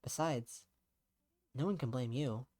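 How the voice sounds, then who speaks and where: A man's voice: mid-range voice, a little slow, darkish tone, natural voice. A man voicing an earnest young woman in a visual novel, blunt but caring, questioning frankly and insistently. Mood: frank, understanding.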